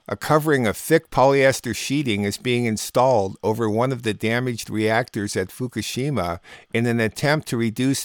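American male host for scripted radio news review; medium pitch, no room sound. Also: no room sound, studio quality. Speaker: radio show host and producer.

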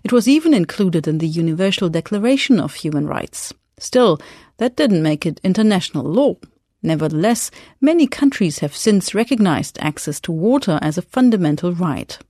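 Lowish-voiced woman presenting radio news, dry studio. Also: expressive, normal speed, dark tone, dry recording. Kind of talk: scripted news narration.

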